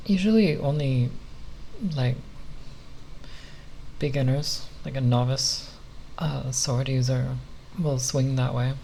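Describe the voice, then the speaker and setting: A soft-spoken ASMR creator rambling unscripted, halting and often pausing mid-phrase. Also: mid-range voice, vocal fry, a little hiss or hum.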